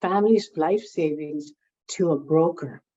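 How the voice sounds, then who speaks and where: Woman interviewee, lower voice, mellow tone, lively storyteller, slightly dull recording. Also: measured pace, slightly rough. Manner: animated storyteller, repeats words while gathering thoughts. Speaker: interviewee, founding member recalling early days.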